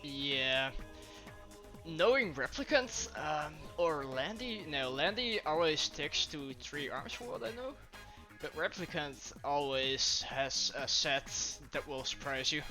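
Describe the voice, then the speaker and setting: A man with a Dutch accent giving live color commentary on a match, excitable and often restarting his words. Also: on the high side, slow. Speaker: esports co-commentator. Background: game music.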